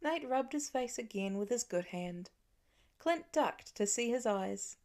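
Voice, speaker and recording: A woman's voice: fairly high pitch, lively intonation, unhurried, darkish tone. A woman reading scripted fiction as an audiobook narrator.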